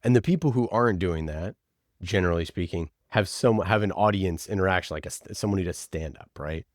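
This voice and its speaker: Deep-voiced man in a podcast interview. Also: brisk, dry recording, clean studio sound. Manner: emphatic, repeats phrases for emphasis. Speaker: podcast producer and interview guest. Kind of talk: conversational interview answers.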